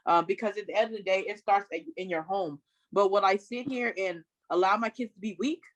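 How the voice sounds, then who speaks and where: A woman's voice: medium pitch, fairly quick, no echo, poor audio. A woman from Sacramento speaking as a panelist in a casual discussion, outspoken and emphatic, often restarting her sentences. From Sacramento, California.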